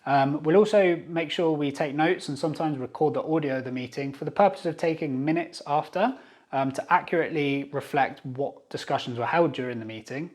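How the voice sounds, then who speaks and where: A man with a British accent from Jersey in the Channel Islands hosts an explainer video. His voice sits a little higher than average for a man. He explains things in an orderly, informal way, as if following a planned script. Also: fairly quick. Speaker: YouTube host and company secretary.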